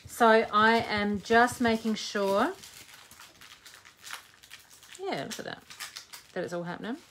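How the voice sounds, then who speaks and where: A woman's voice: mid-range voice, slow pace, fairly bright tone, slight background noise. A woman in her forties chatting and joking as she demonstrates a craft project live. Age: 40s. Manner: chatty, jokey, thinks aloud while working. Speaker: craft video host. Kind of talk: live crafting demo chat.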